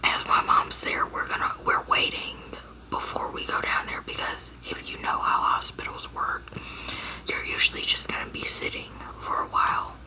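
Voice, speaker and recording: A young woman from Georgia in the US, telling a personal story to her viewers in a halting whisper, full of fillers and restarts. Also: bright, forceful tone, low-quality recording. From Georgia, USA. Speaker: storyteller vlogger.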